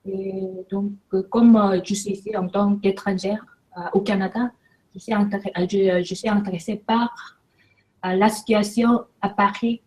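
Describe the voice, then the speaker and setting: Halting woman, Chinese first language. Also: medium pitch, fairly even intonation, darkish tone, poor audio. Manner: very hesitant, frequent fillers and self-corrections. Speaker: immigrant. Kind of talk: casual video message.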